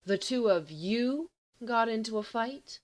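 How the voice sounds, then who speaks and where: A woman's voice: a little high, animated, slow pace. A woman voicing a sceptical school matron in an audiobook, firm and coaxing, trying to sound reassuring. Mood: probing. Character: stern but soothing, sceptical school matron. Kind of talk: audiobook character voice.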